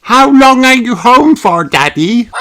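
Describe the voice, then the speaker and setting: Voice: mid-range voice, big pitch swings, a little slow, bright, forceful tone, pitched above the performer's own voice, exaggerated voice. A storybook reader voicing an eager little boy puppy playing sea captain. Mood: excited. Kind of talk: storybook read-aloud.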